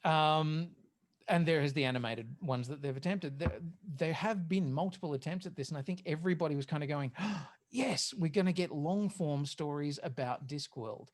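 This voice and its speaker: A man's voice: a little high, normal speed. A man co-hosting a podcast in casual, opinionated discussion of pop culture, swearing now and then. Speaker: podcast host.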